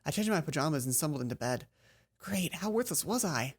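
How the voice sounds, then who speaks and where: A woman's voice: sits low, lively intonation, a little fast, darkish tone, breathy edge. A woman reading a visual novel game aloud, tripping over the text and breaking off into casual, exasperated commentary. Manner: stumbles over read text, exasperated asides. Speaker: let's play host.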